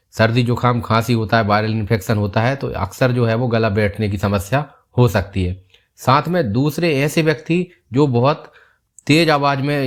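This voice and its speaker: A man, a doctor calmly explaining a health topic step by step in an online video. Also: mid-range voice. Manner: calm, steady explaining, repeats key points.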